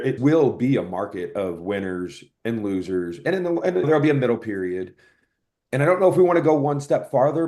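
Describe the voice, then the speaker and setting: A man's voice: deep voice, a little mellow. A man speaking as an expert podcast guest, explaining things analytically and correcting himself as he thinks aloud. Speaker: podcast guest, software product executive. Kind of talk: conversational expert explanation in an interview.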